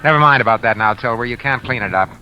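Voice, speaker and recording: A man's voice: mid-range voice, quick pace, a little hiss or hum, poor audio. An American man playing a scheming, coldly controlled husband in a 1940s radio suspense drama, terse and domineering. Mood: stern, pressing. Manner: terse, hard-boiled, domineering. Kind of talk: 1940s radio suspense acting, noir narration. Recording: vintage radio drama under loud music and rain.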